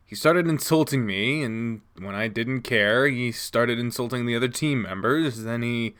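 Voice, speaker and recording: A man voicing a troubled, guarded teenage boy athlete in a low, subdued way. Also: unhurried, a bit bright, clear, resonant tone, close to an everyday voice.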